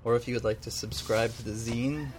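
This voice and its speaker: A man co-hosts a homemade radio show with a joking, rambling and self-deprecating manner. His voice sits at a middling pitch, and a faint hiss of noise runs under the recording. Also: fairly even intonation, a little fast, a crowd in the background. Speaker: co-host. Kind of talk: casual chat.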